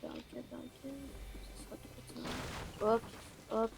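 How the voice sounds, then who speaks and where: A woman speaks with little pitch movement through a noisy amateur microphone. She improvises a roleplay during a video game in an informal, halting way. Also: steady tone, vocal fry. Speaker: player in a Fortnite zombie roleplay.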